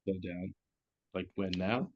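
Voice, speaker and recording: A man's voice: deep voice, measured pace. Muffled, surly wheelman, played by a man. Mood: eager. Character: surly, foul-mouthed tollbooth worker, excitable getaway driver. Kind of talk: virtual table read of a comedy script. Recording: dull lo-fi call audio.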